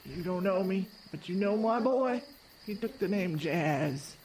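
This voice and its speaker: A woman's voice: fairly low pitch, expressive, measured pace, a little mellow, poor audio. A voice actor playing an old woman from the woods, the carnival owner's mother, who talks in folksy rural grammar and gives ominous warnings in a horror radio drama. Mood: bitter.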